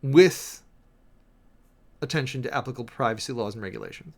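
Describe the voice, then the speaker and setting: A man's voice: medium pitch, lively intonation, vocal fry. A man livestreaming a dramatic reading with close commentary, theatrical and emphatic, stretching words out and breaking into wry asides. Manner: theatrical, emphatic, with drawn-out words and sudden swells. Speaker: livestream host, preacher.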